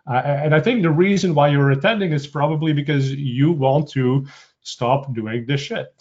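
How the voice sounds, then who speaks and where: A man's voice: on the high side, normal speed, darkish tone. A man from Belgium with a Belgian Dutch accent, giving a casual webinar presentation full of uh and um fillers. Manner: conversational, frequent uh and um fillers. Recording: dull lo-fi webinar audio.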